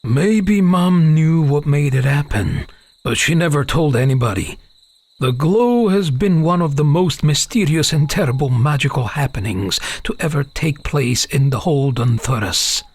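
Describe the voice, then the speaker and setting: A man's voice: a little high, animated, a little slow, husky, full character voice. A man playing an uneasy half-elven father who tells a dramatic tale to his young daughter in a fantasy audio drama. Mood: mysterious, grave. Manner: hesitant openings, dramatic storytelling.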